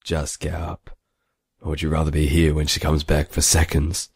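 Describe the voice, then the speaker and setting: Man acting very deep, gravelly soldier commander. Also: monotone, moderate pace, darkish tone, dry recording, clean studio sound, exaggerated voice. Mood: stern, curt. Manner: terse, clipped, commanding. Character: gruff, curt male soldier commander named Marx. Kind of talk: audio drama acting.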